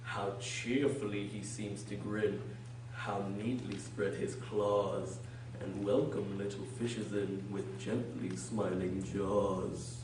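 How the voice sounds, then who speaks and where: Man playing a slow, pompous, imperious caterpillar. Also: lowish voice, little pitch movement. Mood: reciting pompously. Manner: terse, pompous, deliberate. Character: curt, imperious, contrary caterpillar. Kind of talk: amateur radio drama acting. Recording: echoey room, distant mic, noisy.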